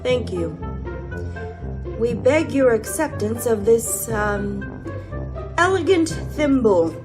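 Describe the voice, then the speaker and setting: A woman's voice: a little high, animated, slow pace. A woman playing a pompous, ceremonious bird in a storybook radio play. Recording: music behind the voice.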